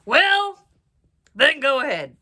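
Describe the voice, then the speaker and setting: A woman voicing a mean, grumpy male troll from a storybook, bellowing drawn-out threats. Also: sits high, sing-song, sharp tone, pitched above the performer's own voice, full character voice. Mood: gruff, impatient.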